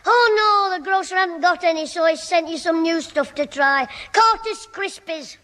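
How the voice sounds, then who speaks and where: A man's voice: extremely high pitch, moderate pace, pushed and bright. A man playing a cheeky, mischievous Northern English schoolboy who wisecracks his way through a 1960s radio sitcom. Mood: eager, cheeky. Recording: old hissy, reverberant 1960s radio recording.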